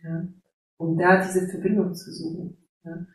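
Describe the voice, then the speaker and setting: A woman's voice: lowish voice, little pitch movement, unhurried, a little mellow, distant mic. A middle-aged woman from Silesia with a Polish accent, speaking earnestly as a guest about her life in Germany, with frequent fillers and little affirmations. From Poland, Silesia. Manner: earnest, many fillers and "ja" tags. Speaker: guest, job coach. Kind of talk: conversational interview. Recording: echoey room, off-mic.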